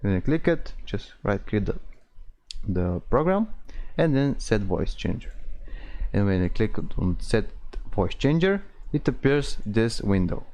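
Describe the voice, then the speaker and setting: A man hosting an informal software tutorial, explaining step by step in non-native English phrasing. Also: fairly low pitch, big pitch swings, measured pace. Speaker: tutorial host.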